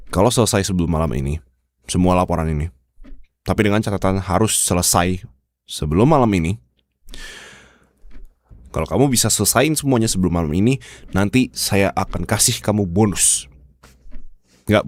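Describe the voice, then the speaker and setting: Man acting a deep-voiced, bossy Indonesian boss. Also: no room sound, studio quality. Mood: coaxing, exasperated. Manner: bossy, sardonic, addresses listener with rhetorical questions. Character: demanding, bossy male boss who softens into bribing his manager. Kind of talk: ASMR roleplay monologue to the listener.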